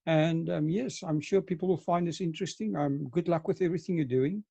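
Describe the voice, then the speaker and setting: Man, mellow, slightly high voice. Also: brisk, creaky, breathy edge, dull audio. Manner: easygoing, with frequent "um" fillers. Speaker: host. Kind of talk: casual video interview.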